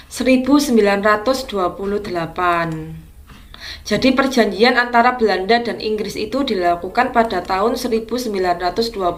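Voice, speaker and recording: Woman teacher going over quiz answers. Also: medium pitch. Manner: explains each answer slowly and deliberately, with a clarifying "ya". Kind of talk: going over exam questions.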